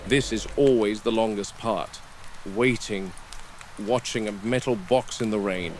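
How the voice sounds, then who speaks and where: A man playing a watchful, weary dinosaur park game warden, narrating a found-footage log in a measured, deliberate way. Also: lowish voice, lightly acted. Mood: patient, watchful. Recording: noisy field recording with vehicle ambience.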